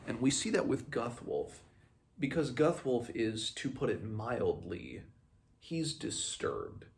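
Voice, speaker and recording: A man talks through a book in a halting, thoughtful way, as a read-along host. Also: mid-range voice, very animated, vocal fry. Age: 20s. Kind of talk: casual book commentary with passages read aloud. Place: small room at home, indoors.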